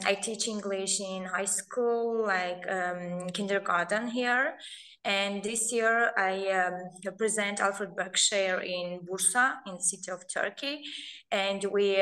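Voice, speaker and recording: A woman's voice: medium pitch, steady tone, measured pace, a bit bright. A woman from Azerbaijan, an English teacher, introducing herself on a video call in a friendly, direct way. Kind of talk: casual self-introduction.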